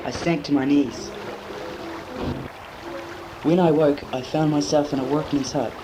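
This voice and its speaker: A man's voice: on the high side, fairly even intonation, a little slow, over music, natural voice. A teenage boy playing a weary, solitary man who tells his story in scripted first-person narration on a noisy amateur cassette recording. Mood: dreamy, reflective. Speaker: narrator and lead in a school drama.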